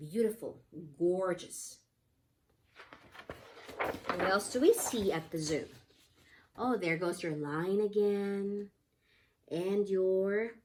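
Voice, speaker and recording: A woman's voice: mid-range voice, a little mellow. A woman teacher leading an interactive picture-book lesson for young children, explaining things simply and often asking the children questions.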